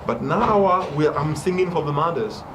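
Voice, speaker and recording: A man's voice: on the high side, lively intonation, normal speed, background noise. A man whose first language is French, a musician chatting in an interview with a noticeable accent. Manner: speaks with an accent, breaks into song. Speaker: musician guest. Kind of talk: casual interview with sung excerpts. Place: outdoor concert venue.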